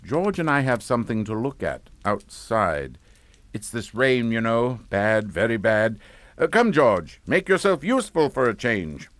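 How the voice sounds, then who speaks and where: An actor playing a politely menacing upper-class English father in a radio suspense drama, speaking in a clipped, formal, deliberate way. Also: mid-range voice, very animated, a bit bright, no echo. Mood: brisk false cheer. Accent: British.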